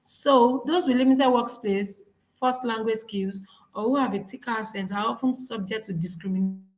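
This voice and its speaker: A woman's voice: fairly high pitch, normal speed, a little mellow, roomy sound, poor audio. Earnest Nigerian woman giving a workshop talk. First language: Yoruba. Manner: earnest, encouraging, repeats and restarts phrases. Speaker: speaker. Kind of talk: presenting a workshop talk with slides.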